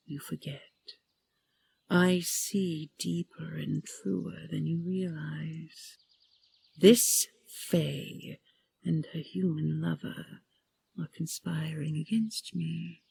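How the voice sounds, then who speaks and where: A woman voicing an ancient, imperious dragon queen in an audio roleplay, breathy and gravelly, with a regal, commanding manner. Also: low voice, darkish tone, exaggerated voice. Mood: stern, possessive. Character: ancient imperious female dragon queen, stern, possessive, condescending.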